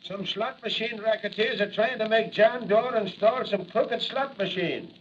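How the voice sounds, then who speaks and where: A man's voice: sits high, a little slow, fairly bright tone. An actor playing an eager, brash policeman pal heard through a telephone in a 1940s radio serial. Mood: urgent, eager. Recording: muffled, noisy old radio, distant phone.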